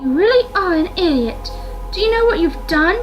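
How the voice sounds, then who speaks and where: A woman's voice: extremely high pitch, lively intonation, normal speed, lo-fi sound, over music, voice pushed up. A woman voicing a hot-tempered, resolute young woman in a visual novel, intense and scolding. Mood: angry, scolding.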